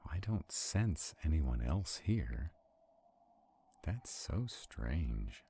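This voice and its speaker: A man playing an arrogant, protective centuries-old vampire in a roleplay audio drama, haughty and brooding, with a gravelly, husky voice. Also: rumbling low, sing-song, drawn-out words, warm tone, exaggerated voice. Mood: uneasy, wary.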